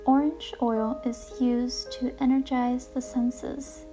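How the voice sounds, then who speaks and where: A woman hosting a guided relaxation session, speaking in a calm, gentle, deliberate way with soothing narration. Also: high-pitched. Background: music playing behind the voice.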